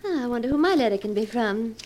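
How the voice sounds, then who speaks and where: An actress playing an adoring, genteel, wealthy wife in a theatrical 1940s radio drama style. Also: female voice, fairly high pitch, animated, quick pace, a little mellow, slight background noise, close to an everyday voice. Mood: coaxing.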